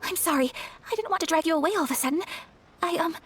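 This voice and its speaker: A woman playing a polite, refined young noblewoman in a video game, gentle, earnest and formally courteous. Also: very high-pitched, rapid-fire, soft background music. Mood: flustered.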